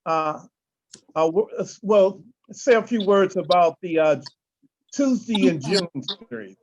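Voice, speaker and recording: A woman's voice: lowish voice, animated, unhurried, a little mellow. A woman informally moderating a group video call. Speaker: event moderator. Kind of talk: informal hosting. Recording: dull video-call audio.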